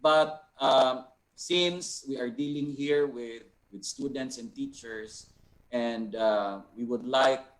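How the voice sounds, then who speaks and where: A man with a Filipino accent and a mid-pitched voice teaches without a script. He explains step by step in deliberate phrases, often ending them with a short tag question. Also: low-quality recording. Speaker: art teacher and resource speaker. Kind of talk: unscripted teaching, answering participants' questions.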